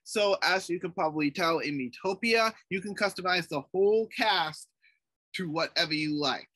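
Guy on a video call explaining stuff, keeps restarting, dry sound, bit higher voice. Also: normal speed, bright, forceful tone, slightly rough, no echo. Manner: explanatory, stumbling over restarts. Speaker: let's play project co-organizer.

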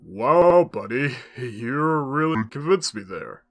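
A glitchy skeleton destroyer, smug and taunting. He drags his words out theatrically and swings his pitch up and down with mocking relish. Music plays behind this comic dub villain. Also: animated, slow pace. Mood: amused, mocking. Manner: drawling, mocking, chuckling delivery.

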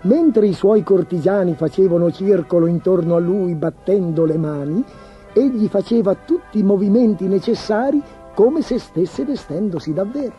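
A man's voice: high-pitched, lively intonation, normal speed. A man narrating a scripted fairy tale for children as a storyteller. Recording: dull, muffled lo-fi track. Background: music.

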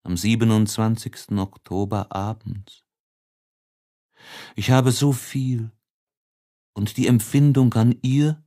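A man playing a tormented, lovesick young man in an audiobook of a classic novel, emotive and halting, with heavy pauses. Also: medium pitch, dark tone, breathy edge. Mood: anguished longing. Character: tormented, lovesick young man writing despairing diary letters.

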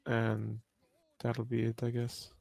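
Even-toned man giving gaming advice. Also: medium pitch, takes it slowly, creaky, dry recording. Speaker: co-op gaming partner. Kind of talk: casual tactical gameplay chat.

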